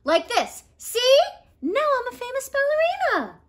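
A woman voicing an excitable, indignant little girl from a picture book, with emphatic exclamations. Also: very high voice, unhurried, pitched above the performer's own voice, heavily acted. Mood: excited, indignant.

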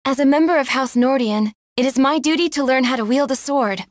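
A woman voicing an earnest, dutiful young noble swordswoman in a fantasy game, formal and gentle. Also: high voice, a little fast, dry recording, studio quality, lightly acted.

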